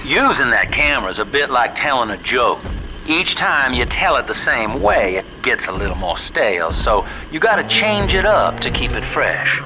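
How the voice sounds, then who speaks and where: An actor playing a folksy, sly middle-aged fixer in a video game, with a coaxing, colloquial manner. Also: male voice, medium pitch, very animated, moderate pace, music in the background, rough recording. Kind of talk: video game radio guidance.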